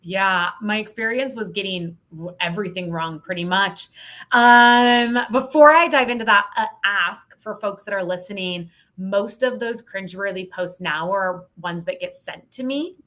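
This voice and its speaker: An American woman speaks as a guest in a casual interview. Her voice is bright and punchy at a medium pitch. She comes across as candid and playful, leaning on her points with emphasis. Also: normal speed. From Montana, United States. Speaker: podcast guest, sales professional.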